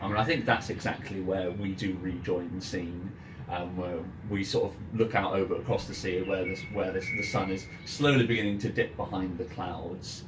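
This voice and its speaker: Roomy, distant low-voiced man running a game. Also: fairly even intonation, moderate pace. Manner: informal, hesitant, repeats words as he thinks. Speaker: game master. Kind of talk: casual tabletop game narration among friends. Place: indoor room, in-person game session. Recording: roomy, distant mic, noisy background. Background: ambient music and noise.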